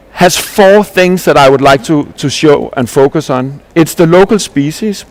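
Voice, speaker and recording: A man from Denmark, giving an earnest conference presentation as a landscape architect, explaining ideas with restarts and rhetorical questions. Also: a little high.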